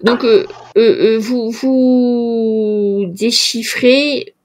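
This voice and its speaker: A woman's voice: a little high, steady tone, very slow, darkish tone. A woman running a tabletop role-playing game as game master, often hesitating with fillers and restarts.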